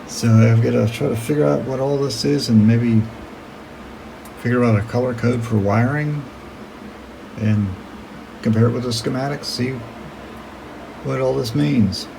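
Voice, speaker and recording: A man with a gravelly voice narrating a casual hands-on video, hesitantly thinking aloud as he works. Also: mid-range voice, background noise. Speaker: hobbyist radio restorer narrating own video.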